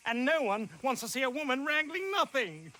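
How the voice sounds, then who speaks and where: A man's voice: extremely high pitch, very animated, moderate pace, sharp tone, exaggerated voice. A male actor playing a flamboyant British stage animal-tamer showman in drag, theatrical and grandiloquent, in a video game cutscene. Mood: despairing, bitter.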